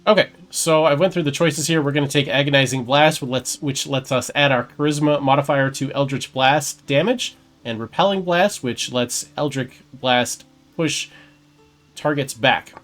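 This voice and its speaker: A man gives casual commentary as he plays a video game, talking through his choices. His voice is mid-pitched, with faint music underneath. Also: normal speed, fairly bright tone. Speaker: gaming video host.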